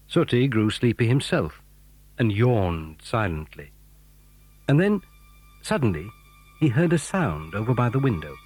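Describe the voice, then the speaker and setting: Expressive British male storyteller, lightly husky. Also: medium pitch, dry recording. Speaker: narrator. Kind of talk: scripted dramatic storytelling for children's adventure audio drama.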